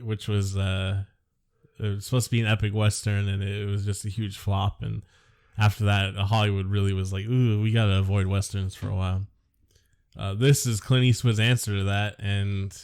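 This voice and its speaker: A man's voice: on the low side, a little mellow. Sarcastic man griping about a movie. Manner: sarcastic, dismissive, swears casually. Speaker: podcast co-host. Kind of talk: casual film review chat.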